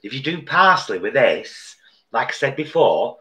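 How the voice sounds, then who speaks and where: A man's voice: mid-range voice, sing-song, unhurried, sharp tone. An older man co-hosting a live cooking stream from his home kitchen, with dry, teasing banter. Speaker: co-host and cook. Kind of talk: casual live-stream chat.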